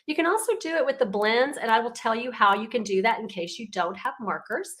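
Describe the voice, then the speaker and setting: A woman, raspy and congested from illness, chatting casually as she demonstrates a card-making craft with frequent ums. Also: a little high, fairly quick, fairly bright tone.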